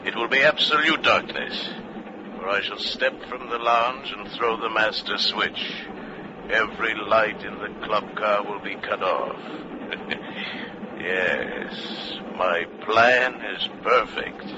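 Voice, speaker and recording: A middle-aged schemer in a radio thriller, low and deliberate, menacingly precise. The sound is dull, with clear noise behind. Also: male voice. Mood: coldly gloating. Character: cold, scheming middle-aged businessman plotting in thought. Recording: muffled old radio, loud rumbling noise.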